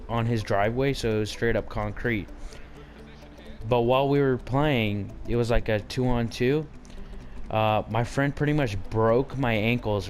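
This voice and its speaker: A man's voice: medium pitch, a little hiss or hum. Brash young man swearing and joking. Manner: brash, profane, teasing. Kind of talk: casual storytelling chat.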